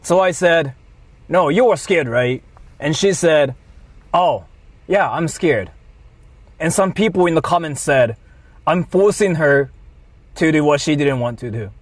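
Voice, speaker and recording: Slow, halting man with a close dry sound. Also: fairly high pitch, expressive, dry recording. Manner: deliberate, halting short phrases. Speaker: livestreamer. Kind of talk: mock apology monologue to stream viewers.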